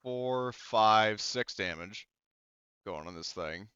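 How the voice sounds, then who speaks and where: A man running a tabletop game as game master, pausing often with ums while he counts dice and tracks the turn. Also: on the low side, sharp tone, smooth, dry recording, highs cut off. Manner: halting, many ums, counts dice aloud.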